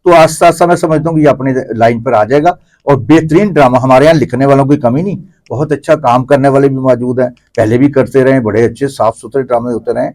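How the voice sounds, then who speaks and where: Pakistani man, mid-pitched, explaining his opinions. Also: hardly any pauses, a little mellow. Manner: explains at length, repeating phrases. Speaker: interview guest, theatre commentator. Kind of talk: conversational opinionated discussion.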